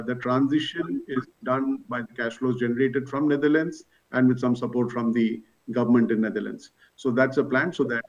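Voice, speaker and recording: A man, a company executive, answering analysts' questions on an earnings call, explaining things point by point. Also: medium pitch. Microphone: conference call. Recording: distant, slightly dull conference call audio.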